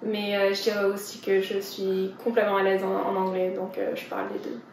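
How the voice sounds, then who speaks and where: A woman's voice: medium pitch, monotone, a little fast, a little mellow, slight background noise. A woman from France answering interview questions casually, with many hesitations. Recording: roomy, from a distance.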